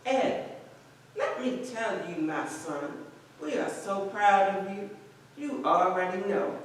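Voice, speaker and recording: A woman playing a proud, loving mother, slow and folksy in a staged play reading. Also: fairly low pitch. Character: proud, loving Southern mother reading her letter aloud. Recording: very echoey room, distant mic, faint noise.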